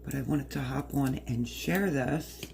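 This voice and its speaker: A woman with a hoarse voice casually explaining a recipe step by step in her home kitchen. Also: a bass voice, unhurried, darkish tone, a little hiss or hum. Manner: chatty, explains step by step while working. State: hoarse, partly lost voice. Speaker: home cook. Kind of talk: casual cooking demonstration.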